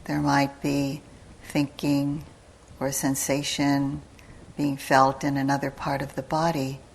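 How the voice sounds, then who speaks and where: A woman teacher giving guided meditation instructions in a calm, measured manner, with long pauses between short phrases. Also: extremely low pitch, creaky, slight background noise, poor audio. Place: meditation retreat hall.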